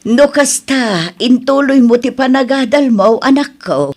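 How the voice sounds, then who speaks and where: A woman's voice: high-pitched, lively intonation, measured pace, a little mellow, faint music behind. A woman playing a caring, encouraging middle-aged mother in an Ilocano radio drama, tender and coaxing, with an Ilocano accent. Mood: reassuring.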